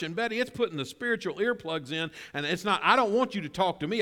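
Animated male preacher in a reverberant hall. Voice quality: bass voice. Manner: animated, conversational preaching with audience call-and-response. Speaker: pastor. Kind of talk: sermon. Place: church.

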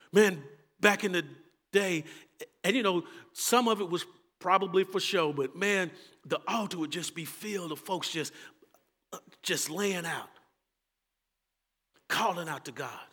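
High, bright Texan man preaching. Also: lively intonation, unhurried, long pauses, long reverb tail. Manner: impassioned preaching, swinging between loud calls and quiet asides. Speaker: pastor. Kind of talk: sermon.

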